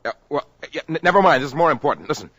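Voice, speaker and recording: An actor playing a brash, ambitious young man, pushy and excitable, in a 1950s radio drama. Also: fairly high pitch, rapid-fire, no room sound. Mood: brisk.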